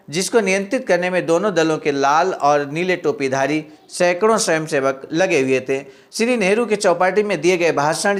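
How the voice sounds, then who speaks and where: A man narrating, reading a historical speech report aloud in a formal way and addressing listeners respectfully. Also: high voice.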